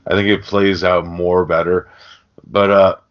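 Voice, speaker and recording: A man's voice: sits low, flat delivery, a little slow. A man hosting a podcast, chatting casually about a film in a rambling way with plenty of swearing. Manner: rambling, profane. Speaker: podcast host. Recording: dull, lo-fi audio.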